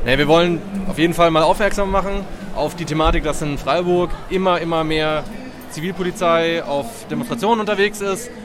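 A man's voice: fairly high pitch, a little fast, music in the background. A man, an activist being interviewed outdoors at a street festival, talking casually with many fillers and restarts. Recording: loud crowd around.

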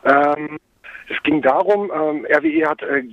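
A man with a fairly high voice gives a spontaneous phone interview as an activist. He speaks hesitantly, with frequent ums and broken-off starts. The line is dull and lo-fi, with little room sound. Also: very animated, unhurried, vocal fry, no echo. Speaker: climate camp activist being interviewed. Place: at a climate camp, on a mobile phone. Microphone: mobile phone.

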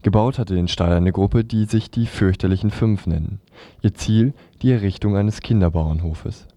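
A man reads scripted radio news. His voice is very dark and soft, sitting low, in a dry recording. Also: normal speed, no room sound.

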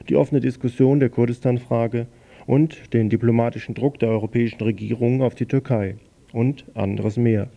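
A man presenting news on a small radio program, reading in a measured, matter-of-fact way. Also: medium pitch, vocal fry. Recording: dull lo-fi radio recording.

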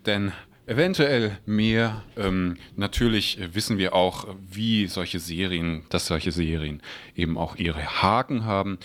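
A man co-hosting a studio show, joking in a dry, ironic way. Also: deep voice. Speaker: co-host.

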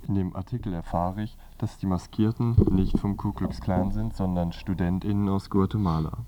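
A man's voice: deep voice, fairly even intonation, normal speed, dark tone, highs cut off. A man presenting a scripted magazine review on the radio as narrator.

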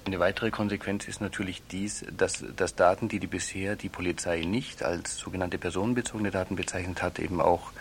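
A man's voice: low voice, steady tone, brisk, hardly any pauses, slight background noise. A man speaking as a lawyer in a radio interview, explaining at length in formal legal wording and often reworking his phrases as he goes.

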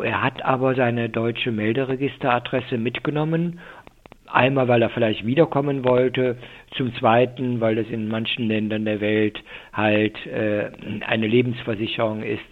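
An older man explaining things as an expert in a radio interview over the telephone, with halting delivery and many filled pauses. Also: mid-range voice. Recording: muffled, thin telephone line.

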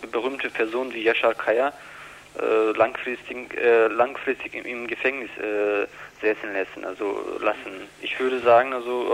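A man answering as an interview guest, halting and searching for words with many hesitations. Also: medium pitch, creaky, fairly bright tone, rough recording. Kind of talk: unscripted political interview.

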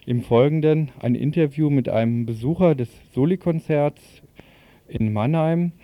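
Male radio presenter, very mellow dark tone, dry close sound, scripted news delivery, interview questions. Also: medium pitch, no room sound.

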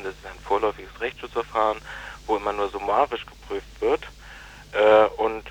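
A man giving a spontaneous radio interview as a managing director, explaining things in halting, formal wording. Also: on the low side, slight background noise, rough recording.

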